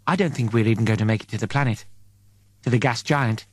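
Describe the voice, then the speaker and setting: A man voicing a grave, earnest and worried spaceship crew member in an audiobook, low-key but urgent. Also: quick pace, darkish tone, dry recording, natural voice.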